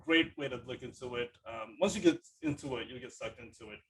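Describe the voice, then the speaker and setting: A man's voice: medium pitch, a little fast, a bit bright, slight background noise. A man hosting a podcast in a casual, enthusiastic and rambling conversational way. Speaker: podcast host. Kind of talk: casual chat.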